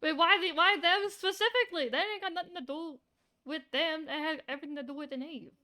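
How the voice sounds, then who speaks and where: A woman's voice: extremely high pitch, fast, bright, forceful tone. A woman streamer casually commentating on a game and reading dialogue aloud, blunt and sweary.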